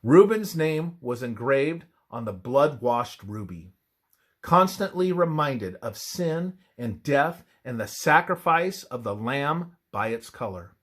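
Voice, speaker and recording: A man reads a scripted religious text aloud as devotional narration, in a measured, emphatic scripture-reading manner. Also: mid-range voice, lively intonation, fairly bright tone.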